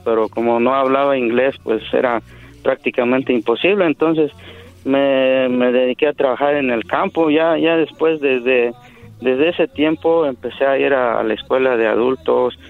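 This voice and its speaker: A man's voice: mid-range voice, moderate pace, vocal fry, faint music behind. A middle-aged man from Mexico, a native Zapotec speaker, telling his life story as a radio guest, earnest and hesitant, often repeating words. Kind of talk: radio phone interview. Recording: phone line over radio.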